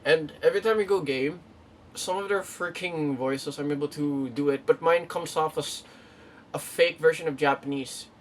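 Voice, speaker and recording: A man's voice: fairly high pitch, normal speed. A young man from the Philippines, vlogging casually in a rambling way, with many fillers and restarts. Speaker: YouTuber. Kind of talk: casual vlog talk to camera. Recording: distant mic with faint room noise.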